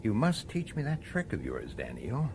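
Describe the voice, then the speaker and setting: An actor playing a weary, dogged middle-aged police detective in a science fiction radio drama, pressing and intense. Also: male voice, lowish voice, wildly swooping pitch, moderate pace, darkish tone, a little hiss or hum. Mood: weary, reflective. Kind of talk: radio drama acting with first-person narration.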